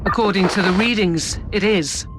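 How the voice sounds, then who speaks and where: Male actor as ship's officer, high-pitched, formal, alert, dutiful, game drama, faint music. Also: moderate pace, close to an everyday voice. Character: apologetic, dutiful male military ship officer. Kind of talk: dramatic video game voice acting.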